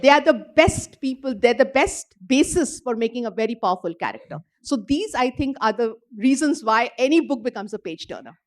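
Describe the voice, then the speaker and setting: A woman's voice: on the high side, animated, brisk, hardly any pauses. A woman author, earnestly explaining her writing process at a literature festival panel. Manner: explanatory, earnest, uses "you know" fillers. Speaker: author answering audience questions. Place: event hall with audience.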